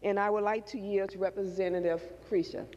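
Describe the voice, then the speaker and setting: A muffled recording in a large, reverberant chamber carries a middle-aged woman's mid-pitched voice. She reads formally as a state legislator. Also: little pitch movement, brisk, darkish tone, slight background noise. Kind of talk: formal reading of a resolution. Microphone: handheld floor microphone.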